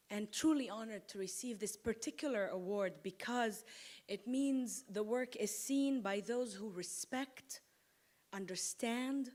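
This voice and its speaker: Slow, impassioned woman at a podium. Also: mid-range voice, darkish tone. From Lebanon. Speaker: award-winning poet. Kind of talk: award acceptance speech. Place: auditorium stage at a podium. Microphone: podium microphone.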